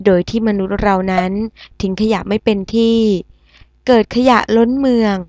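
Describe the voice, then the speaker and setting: A woman's voice: medium pitch, fairly even intonation, moderate pace, mellow, dry recording, muffled recording, natural voice. A woman playing a formal, composed television news anchor in a cartoon, reading a scripted explanatory report.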